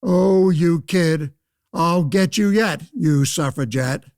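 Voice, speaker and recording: An actor playing a blustering, pompous old-time senator in a radio play, declaiming theatrically like a stump orator. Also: male voice, fairly high pitch, takes it slowly, heavy background noise. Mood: bombastic doom. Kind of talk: old-fashioned political oratory, pseudo-radio play acting.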